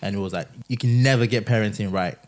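A young man hosting a casual family podcast, teasing and laughing easily, often trailing off quietly. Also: lowish voice, fast talker. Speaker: podcast host.

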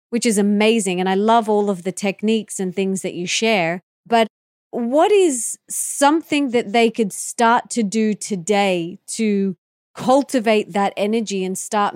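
A woman interviews as a podcast host in a dry, close recording. Her voice sits at a medium pitch, and she talks fluently and expressively, framing questions conversationally. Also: dry recording.

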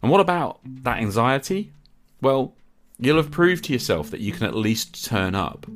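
A man's voice: mid-range voice, sing-song, moderate pace, faint music behind. A middle-aged man hosting a podcast, delivering an earnest scripted monologue in short, emphatic phrases. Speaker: podcast host.

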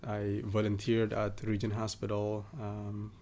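A man from southern Ethiopia, a guest in a podcast interview, talking casually with many pauses and fillers. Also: fairly low pitch, monotone, mellow, slight background noise. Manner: hesitant, many fillers and restarts.

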